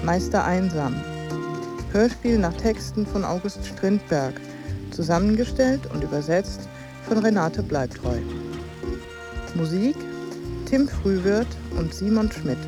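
A woman's voice: medium pitch, animated, slow, over music. A woman making a scripted announcement of a radio play's title and credits.

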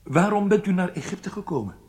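An actor in a radio drama playing a judge who questions a defendant in a probing, sceptical manner. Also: male voice, high-pitched, expressive, a little fast, a little mellow. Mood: inquiring.

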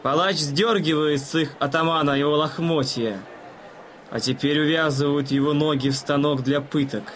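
An actor playing a smug, patronizing gentleman who explains things in a mannered way in an audio drama. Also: fairly high pitch, fairly even intonation, moderate pace, slight background noise, close to an everyday voice. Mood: smug, callous. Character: smug, condescending gentleman spectator courting a young lady.

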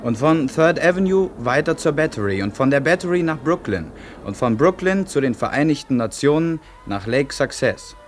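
A man's voice: on the high side, expressive, moderate pace, few pauses, slight background noise. A man reading scripted linking narration for a radio feature.

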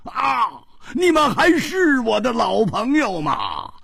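A man's voice: huge pitch swings, slow pace, slightly rough, no echo, studio quality, exaggerated voice. A man voicing a flustered, panicky young swordsman who stammers in comic distress. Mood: frantic. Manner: stammering, squealing high.

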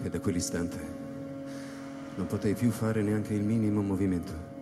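A male actor playing a solitary astronaut who recounts his space voyage in a measured, solemn dramatic narration. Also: fairly low pitch, steady tone, close to an everyday voice. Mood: grave, tense. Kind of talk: literary sci-fi dramatic narration. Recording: long hall reverb over live electronic music. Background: electronic music.

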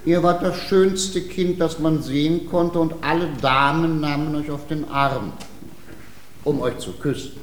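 Scheming servant in gentleman's disguise male actor, ornate and flattering, classical comedy, roomy recording with slight noise. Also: on the high side, measured pace, lightly acted. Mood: flattering, sly. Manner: courtly, smooth-talking flattery. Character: sly male servant posing as a courtly flatterer. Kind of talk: classical stage comedy acting. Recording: roomy stage sound, faint noise.

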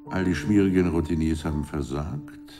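A man's voice: low-pitched, moderate pace. An actor playing a brooding, sceptical political man in a German radio drama, musing and sardonic. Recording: loud piano music behind.

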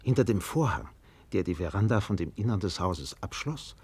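Narrator for literary radio drama: man, low register, slightly rough tone, scripted storytelling. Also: lively intonation, normal speed, no room sound.

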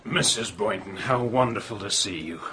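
Casting: genial man, lowish but forceful bright tone, animated, politely persuasive, radio drama. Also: normal speed. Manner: hearty, polite, ingratiating. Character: genial, smoothly persuasive family friend on holiday.

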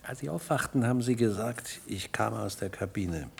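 A man acting an insistent, irascible doctor in a radio play, with a gravelly, husky voice and a precise, probing delivery. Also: lowish voice, normal speed, full character voice. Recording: vintage radio play recording.

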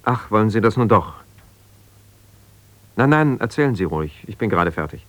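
An actor playing an ambitious, self-justifying lawyer in a German radio drama, speaking haltingly and conversationally as if on the phone. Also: male voice, on the low side, very animated, fairly bright tone. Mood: measured, advising. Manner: conversational, halting, with repeated phrases and pauses.

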